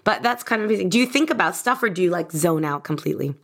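A woman hosting a podcast in an easy, friendly chat. Her voice sits slightly low. She sounds enthusiastic and a little gushing as she leads the questions. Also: expressive, racing, gravelly. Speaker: podcast host. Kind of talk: casual interview chat.

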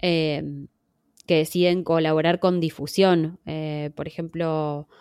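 Argentine woman with a smooth, low voice. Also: little pitch movement, darkish tone, dry recording, studio quality. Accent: Argentine Spanish. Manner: measured, many fillers and "¿no?" tags. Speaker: podcast survey organizer. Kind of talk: interview guest explaining.

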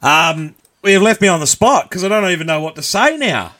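A man's voice: sits high, expressive, brisk, pushed and bright. A man hosting a casual live podcast, laughing often and reading out listener numbers. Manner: laughs often, chatty, reads out stats. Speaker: podcast host.

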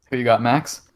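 A man co-hosting a podcast, reading out trivia hints in an easygoing way and laughing often. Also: mid-range voice. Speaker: podcast co-host, quiz giver. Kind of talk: casual chat.

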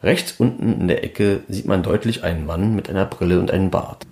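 Guy with a low voice reading a scripted podcast feature, documentary style. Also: brisk, mellow. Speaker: podcast presenter.

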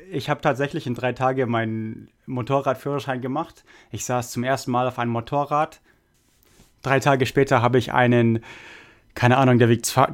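Casual man hosting a podcast. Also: medium pitch. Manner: relaxed, hesitant with many fillers. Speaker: podcast host. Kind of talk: casual podcast chat reading listener mail.

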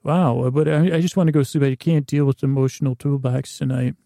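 A man hosting a podcast with a rambling, comedic bedtime monologue, meandering and halting as he restarts sentences and voices imagined dialogue, in a gravelly voice. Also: mid-range voice, steady tone, mellow, no room sound, studio quality. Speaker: podcast host.

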